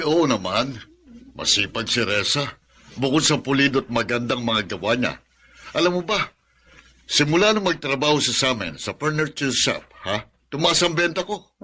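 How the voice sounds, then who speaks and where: Folksy, encouraging boss-uncle in middle age, crisp forward timbre, medium pitch, radio serial. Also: male voice, sharp tone, slight background noise. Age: middle-aged. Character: encouraging middle-aged uncle, furniture shop owner. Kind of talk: radio drama acting.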